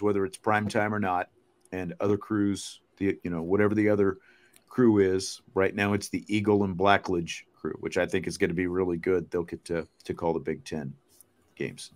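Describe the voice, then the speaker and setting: A man hosting a sports podcast, chatting casually and thinking aloud as he answers viewer comments. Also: sits low.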